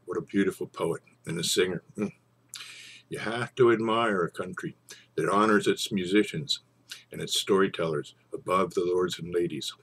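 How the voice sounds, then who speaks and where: A man with a husky voice, speaking as a storyteller and savouring his phrases with theatrical pauses. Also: deep voice, a bit bright, slight background noise. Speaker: storyteller and poetry reader. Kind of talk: informal introduction, then dramatic poetry recitation.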